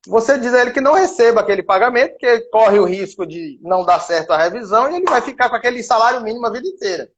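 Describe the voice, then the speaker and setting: A middle-aged man from Salvador with a softened Bahian accent, a lawyer and professor explaining cases through worked examples in a live stream. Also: high-pitched, muffled recording. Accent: Bahian Brazilian Portuguese, softened. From Salvador, Bahia, Brazil.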